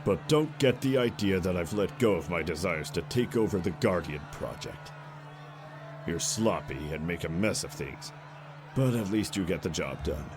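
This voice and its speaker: A stern, gruff soldier in an audiobook, acted out by a male narrator, speaks in a low register. He is blunt and commanding, a grudgingly respectful rival, with music playing underneath. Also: lively intonation, moderate pace. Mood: blunt, scornful. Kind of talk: audiobook character dialogue.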